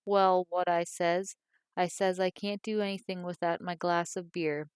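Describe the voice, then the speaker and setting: A woman's voice: little pitch movement, a little slow, smooth, dry recording, clean studio sound, natural voice. A woman voicing a cantankerous, self-pitying old working-class mother with a Cockney accent, complaining and scolding. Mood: grumbling. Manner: complaining, shrill when angry.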